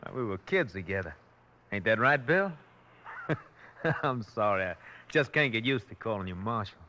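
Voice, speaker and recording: Cocky, bright-voiced Western outlaw. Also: male voice, on the low side, expressive, moderate pace, lo-fi sound. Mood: sly, rueful. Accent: American Western. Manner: cocky, sly, needling. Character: cocky captured outlaw, sly, needling, drawling. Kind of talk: 1950s radio western acting. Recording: old radio recording with faint noise.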